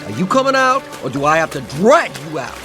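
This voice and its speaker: A man's voice: high-pitched, big pitch swings, fast, music in the background. A man playing an irritable, work-absorbed father in a classic television drama, brusque and exasperated. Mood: angry.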